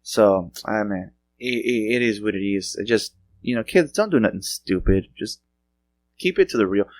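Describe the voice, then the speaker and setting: A man's voice: lowish voice, expressive, normal speed. A middle-aged man hosting a podcast, chatting loosely and rambling through stories with many restarts. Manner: loose rambling storytelling with frequent restarts and laughs. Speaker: podcast host. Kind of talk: casual chat.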